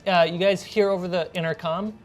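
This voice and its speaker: Low-voiced woman running a tabletop game. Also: steady tone, fairly quick. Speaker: game master. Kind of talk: narrating a tabletop horror role-playing game. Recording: music bed under the voice. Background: background music.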